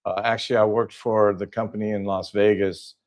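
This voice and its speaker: A man's voice: lowish voice, level tone, a little fast. An older man from Southern California, a guest in a casual interview, talking with many fillers and restarts.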